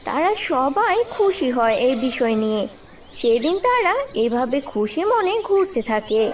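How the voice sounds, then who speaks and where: A woman's voice: sits high, sing-song, a little slow, recorded from a distance, slight background noise. A woman reading scripted narration for a cartoon story.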